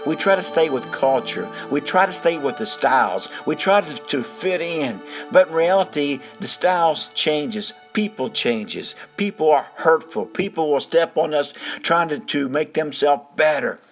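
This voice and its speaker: A man speaking as a storyteller and informal preacher, earnest and folksy, with emphatic pauses. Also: fairly high pitch, animated. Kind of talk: dramatized first-person storytelling turning to informal sermon. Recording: music bed under the voice. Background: music.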